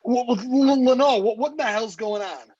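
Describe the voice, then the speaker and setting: An actor playing a cowardly, wisecracking husband and crook who stammers nervously and indignantly in a comic noir staged reading. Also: male voice, extremely high pitch, a little fast, darkish tone, husky, dull audio.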